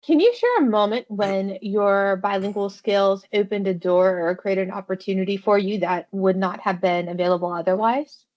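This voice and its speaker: A woman's voice: medium pitch, normal speed. A woman hosting a podcast interview, asking questions in a loose, friendly way with many fillers. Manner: friendly, loose phrasing with "you know" and "like". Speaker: podcast host. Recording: dull, lo-fi sound.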